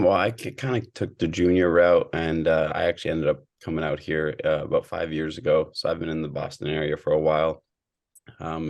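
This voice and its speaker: A young man from Sweden, a student athlete answering interview questions in a measured way with many fillers. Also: a bass voice, vocal fry. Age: young adult.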